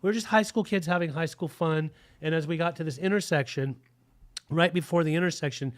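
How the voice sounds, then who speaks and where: A man's voice: on the high side, brisk. Earnest man telling his recovery story. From Germany, raised in Utah. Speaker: guest sharing recovery story. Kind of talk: personal testimony.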